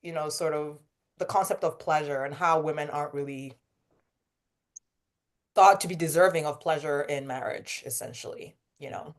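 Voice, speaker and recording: A woman's voice: low-pitched, steady tone, moderate pace. A woman hosting a casual group book discussion, thinking aloud and putting questions to the others. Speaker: book club discussion host.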